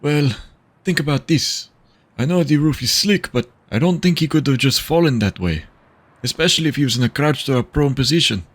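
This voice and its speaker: A man playing a measured senior detective who mentors a younger colleague in a science fiction audio drama. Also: a little high, lively intonation, no room sound. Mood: reasoning.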